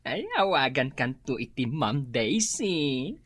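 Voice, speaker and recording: An actress playing a gossipy, flattering female office worker in an Ilocano radio comedy, with loud exaggerated exclamations. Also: a bass voice, slow pace, husky, dry recording, studio quality, exaggerated voice. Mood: teasing. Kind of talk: radio comedy drama acting.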